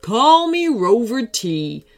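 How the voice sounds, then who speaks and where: A woman's voice: a little high, big pitch swings, slow, pitched above the performer's own voice, full character voice. A woman voicing a big friendly cowboy dog in a children's story, folksy and helpful in manner. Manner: folksy cowboy drawl phrasing.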